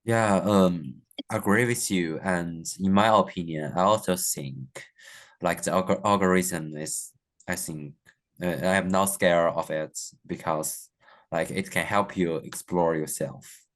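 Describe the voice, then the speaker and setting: An eighteen-year-old man asking questions and answering hesitantly, with frequent pauses, in a student podcast. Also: sits low. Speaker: student panelist.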